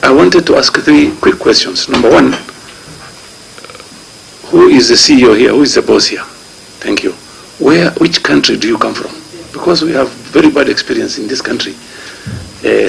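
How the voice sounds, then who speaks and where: A man with a Kenyan accent, a senator questioning witnesses at a committee hearing in a haltingly paced list of numbered points. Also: mid-range voice, gravelly, fairly bright tone, slight background noise, poor audio. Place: parliament committee room.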